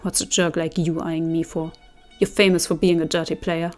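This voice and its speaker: A woman voicing a brash, hot-tempered young male warrior who snaps and shouts indignantly. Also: deep voice, little pitch movement, moderate pace, soft background music, natural voice. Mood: scornful.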